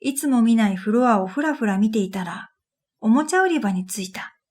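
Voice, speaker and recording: A woman's voice: on the high side, animated, brisk, a little mellow. A woman narrating a scripted first-person story for a radio drama in an introspective, matter-of-fact manner. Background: music.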